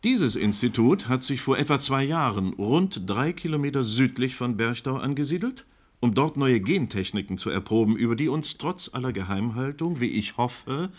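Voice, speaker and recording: A man's voice: medium pitch, animated, normal speed, lightly acted. An actor playing a formal, courteous but firm male moderator of a live crisis panel in a German radio drama. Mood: pointed, factual.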